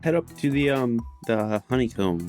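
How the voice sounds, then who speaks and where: A man's voice: medium pitch, expressive, normal speed, a little mellow, soft background music. A man playing a tabletop roleplaying game, chatting casually as he works out what his character does. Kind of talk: casual chat.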